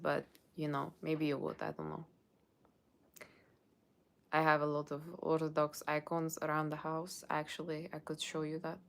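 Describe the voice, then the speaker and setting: A woman's voice: sits low, gravelly. A woman with a Russian accent chatting casually and informally to livestream viewers as a tarot reader, in a rambling way. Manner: rambling, informal, often checking with "okay".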